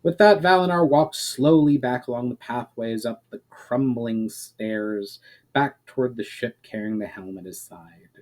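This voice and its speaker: A man with a mid-pitched voice narrates a story. He reads it as an author would his own work, in a dramatic storybook style. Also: unhurried, a little mellow.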